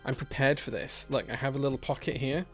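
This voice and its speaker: A man's voice: mid-range voice, fairly even intonation, quick pace, faint music behind. A man with a Yorkshire accent chatting casually on a live stream, reading out viewer messages with a jokey, rambling manner. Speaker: streamer building keyboards.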